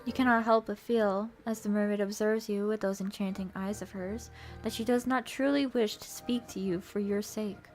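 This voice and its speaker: A woman's voice: medium pitch, a little mellow. A woman streamer who narrates a visual novel aloud and chats casually with viewers. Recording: music playing behind the voice. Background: music.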